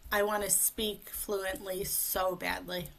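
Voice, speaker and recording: A woman speech coach leading a guided tapping session, speaking slowly and calmly in short phrases for a client to repeat, over a video call. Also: mid-range voice, gravelly. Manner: slow, calm, leads phrases for repetition.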